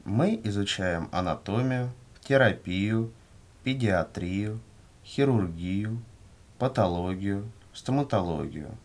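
A man reading scripted language-course answers in a measured, carefully enunciated way. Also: fairly low pitch, animated. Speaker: language lesson reader.

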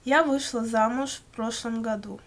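A woman reading scripted answers for a language lesson in measured, complete sentences. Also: fairly high pitch, fairly even intonation. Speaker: language-lesson model speaker answering questions. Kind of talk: scripted language-lesson dialogue, read aloud.

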